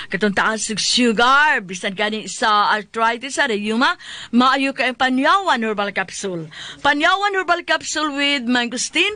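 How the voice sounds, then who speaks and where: A woman's voice: on the high side, bright, forceful tone, no room sound. A woman with a Cebuano accent co-hosting radio, giving casual greetings and product endorsements. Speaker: radio co-host.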